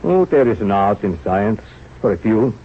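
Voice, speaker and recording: Husky, exacting old professor. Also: male voice, on the low side, very animated, moderate pace, heavily acted. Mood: measured approval. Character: exacting, stern older male science professor. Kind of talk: 1940s radio drama acting. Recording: dull, lo-fi old radio recording.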